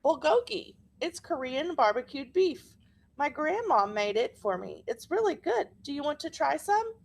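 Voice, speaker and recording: A woman's voice: high-pitched, very animated, moderate pace, vocal fry, voice pushed up, exaggerated voice. A woman reading the part of a friendly, plain-spoken young schoolboy in a storybook. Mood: friendly, open.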